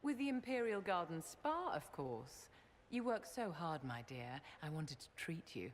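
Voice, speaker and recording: A low, haughty society lady. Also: big pitch swings, unhurried, a little hiss or hum. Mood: breezy, indulgent. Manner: haughty, genteel, indulgent. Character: elegant, imperious, affectionate society lady. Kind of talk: video game cutscene acting.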